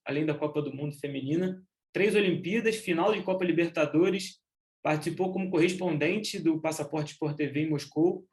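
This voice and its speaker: A man with a Brazilian accent hosting a podcast, introducing a guest and asking questions conversationally. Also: fairly high pitch. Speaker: podcast host, interviewer.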